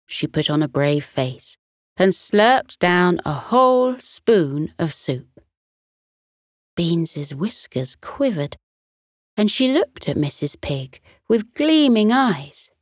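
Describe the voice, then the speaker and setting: Female narrator for kids' bedtime tales: soothing, unhurried in the extreme, lilting, dry sound. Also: sits low, no echo. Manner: soothing, deliberate, expressive. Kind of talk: scripted children's bedtime storytelling.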